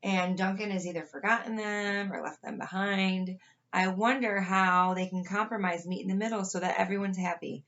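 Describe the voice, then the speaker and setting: A woman's voice: medium pitch, steady tone, moderate pace, a bit bright, muffled recording. A woman reading a picture book aloud to children as a school counselor.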